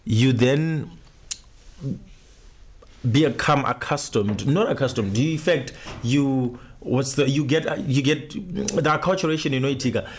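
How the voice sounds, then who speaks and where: A man's voice: mid-range voice, measured pace, a little hiss or hum. A young man, hosting a casual podcast chat and rambling through his points, slipping into Shona phrases. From Zimbabwe. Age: young adult. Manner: rambling, restarts, switches into Shona mid-sentence. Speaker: podcast host.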